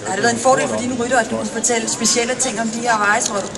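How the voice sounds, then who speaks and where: A woman's voice: fairly high pitch, fairly even intonation, gravelly. A woman with a Danish accent hosting a live stage interview with sports guests, heard over a distant echoey PA among a crowd. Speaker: event presenter interviewing riders. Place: public team presentation event.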